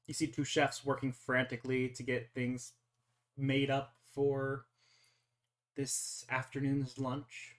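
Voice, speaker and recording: A game master casually and haltingly narrating an improvised roleplay game over a voice call. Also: medium pitch, completely flat delivery.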